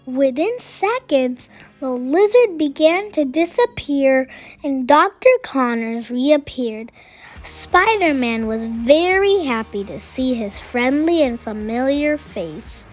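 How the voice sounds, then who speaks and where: Slow, high-voiced woman reading a children's story. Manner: expressive, addresses young listeners with questions. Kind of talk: read-aloud storytelling with chatty asides to children. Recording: music behind the voice. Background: music.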